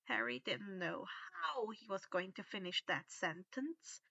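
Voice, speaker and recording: A woman's voice: mid-range voice, sing-song, measured pace, vocal fry, sharp tone, dull audio. A woman narrating fan fiction as an amateur audiobook reader. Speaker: story narrator.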